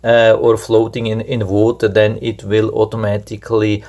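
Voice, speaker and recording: A man's voice: on the low side, completely flat delivery, normal speed, darkish tone. A man giving an unscripted hands-on product demonstration, explaining step by step in a repetitive way. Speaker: product reviewer.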